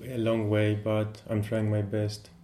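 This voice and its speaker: A low, dead-flat male voice, picking his words slowly and filling gaps with ums. The tone is dark and mellow, and the room adds a noticeable echo. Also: slight background noise. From Greece. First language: Greek. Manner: hesitant, many fillers. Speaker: poet. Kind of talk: casual interview chat. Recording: roomy echo.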